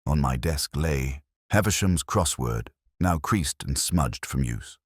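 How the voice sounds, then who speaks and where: A man playing a weary, melancholy middle-aged police inspector, narrating a mystery in somber, reflective, measured literary phrases. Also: rumbling low, animated, breathy edge, no room sound, clean studio sound, full character voice. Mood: brooding, melancholy. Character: weary, melancholy male English police inspector recounting a case. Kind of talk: scripted first-person literary mystery narration.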